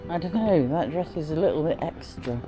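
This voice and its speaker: British woman vlogging over loud music. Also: deep voice, lively intonation, fairly quick, muffled recording. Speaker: travel vlogger. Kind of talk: casual travel vlog commentary.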